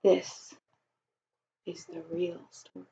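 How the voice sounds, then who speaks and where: A woman's voice: slow, warm tone, close to an everyday voice. A woman voicing an aggrieved, folksy male wolf who tells his side of a children's storybook in a chatty, mock-innocent way. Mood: self-justifying. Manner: conversational, self-justifying, mock-innocent. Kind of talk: children's storybook read-aloud. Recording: dull lo-fi recording.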